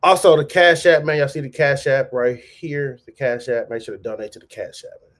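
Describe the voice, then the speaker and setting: A man talks over a clip in an even, mid-pitched voice. He keeps it loose and slangy and restates his points as he reasons them out. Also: fairly quick. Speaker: reaction channel host. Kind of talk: casual reaction commentary.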